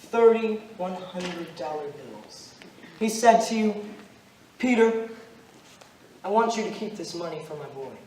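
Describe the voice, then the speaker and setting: Young man acting grave, high-voiced ghostly stranger, distant. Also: expressive, darkish tone. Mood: solemn, accusing. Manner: solemn, deliberate, declamatory storytelling. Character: Judas, ghostly accusing stranger, eighteen centuries old, grave and relentless. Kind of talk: amateur stage play acting. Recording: roomy, noisy stage recording from far off.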